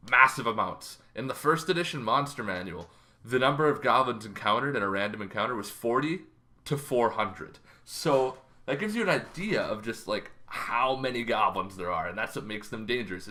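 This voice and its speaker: A man's voice: mid-range voice, sharp tone. A man in his thirties giving a casual, conversational explainer on tabletop game monsters as host, recorded in a bedroom. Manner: conversational, informal. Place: bedroom, indoors.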